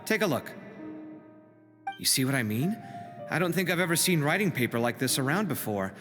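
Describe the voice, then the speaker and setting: An actor playing an earnest, concerned friend in a video game, with a casual, expressive conversational delivery. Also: male voice, on the high side, a little fast. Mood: intrigued. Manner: conversational, animated. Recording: music behind the voice. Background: game music.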